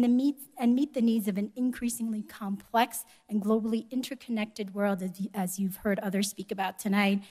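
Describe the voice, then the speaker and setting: A woman delivering a prepared speech at a conference in a measured, polite and formal manner. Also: fairly high pitch, a little mellow. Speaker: conference speaker, education organization executive. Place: live event room before an audience.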